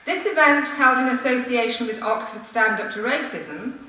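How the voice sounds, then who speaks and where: A woman reads a formal prepared speech to a council meeting, measured and earnest, with emphatic phrasing. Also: on the high side, expressive, pushed and bright. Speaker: member of the public addressing a city council. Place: large council meeting room, indoors. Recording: echoey room from far off, faint noise.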